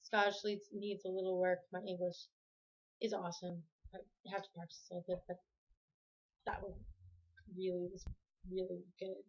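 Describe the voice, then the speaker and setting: A woman's voice: fairly low pitch, little pitch movement, normal speed, long pauses, muffled recording. A woman from Canada talking casually to camera at home, rambling and often correcting herself. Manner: rambling, self-correcting, chatty. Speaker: vlogger. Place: home room with the TV on.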